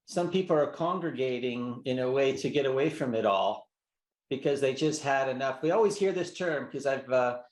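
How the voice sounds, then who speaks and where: A man hosting a casual interview, asking questions in a halting way with restarts and "like" fillers. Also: mid-range voice, expressive, darkish tone. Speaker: interview host. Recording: roomy echo.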